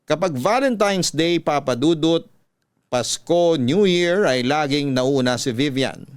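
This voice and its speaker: A man with a Filipino accent narrating a sentimental confessional story in a measured, classic radio drama style. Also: a little high, lively intonation, smooth, no room sound. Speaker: radio-style storyteller host reading a letter.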